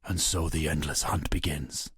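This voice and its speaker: A male actor playing a proud, grim warrior king turned wolf-god in a video game, declaiming solemnly with a gravelly, husky voice. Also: very deep voice, steady tone, dry recording, clean studio sound, full character voice. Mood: grim, resolute. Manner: solemn, declamatory, deliberate oratory. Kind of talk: dramatic scripted game monologue.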